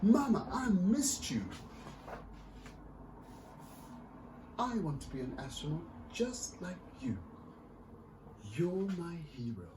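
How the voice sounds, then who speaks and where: A man's voice: fairly high pitch, very animated, a little slow, echoey room, distant mic, background noise, pitched above the performer's own voice. A man voicing an eager, confident little girl who dreams of space in a storybook reading. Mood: excited, adoring.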